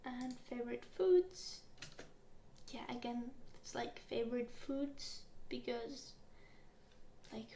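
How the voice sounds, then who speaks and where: A young woman, a native Finnish speaker, gently interviewing in a soft-spoken ASMR roleplay, unhurried with soft giggles. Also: high-pitched, reverberant, a little hiss or hum. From Finland.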